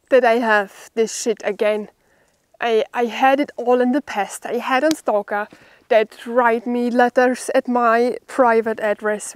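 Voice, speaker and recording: Slow-talking young German-accented woman. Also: on the high side, no room sound. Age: young adult. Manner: halting, with pauses mid-sentence. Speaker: bushcraft vlogger. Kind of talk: unscripted vlog talk to camera. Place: outdoors in a forest.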